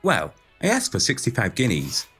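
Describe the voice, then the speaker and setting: An actor playing a naive, dim, excitable young male dreamer in a pantomime audio drama. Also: mid-range voice, moderate pace, husky, faint music behind, heavily acted. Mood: earnest.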